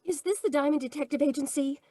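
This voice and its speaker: Woman acting a very high, flustered damsel. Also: fast talker, warm tone, no room sound, clean studio sound, lightly acted. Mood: frightened. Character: flustered, frightened, scatterbrained young female client. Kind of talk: old-time radio detective comedy acting.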